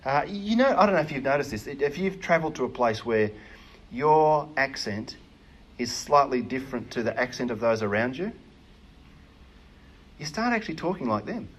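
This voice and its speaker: A man preaching a sermon in an earnest, emphatic way, often pausing with hesitations. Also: mid-range voice, expressive.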